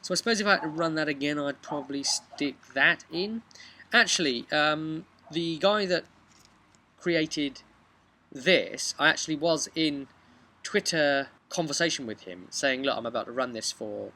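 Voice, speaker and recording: Bright-voiced young man recapping casually. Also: fairly high pitch, normal speed. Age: young adult. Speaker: game master recapping a tabletop session. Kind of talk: casual storytelling recap to camera. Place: outdoors on a garden bench by a brick house.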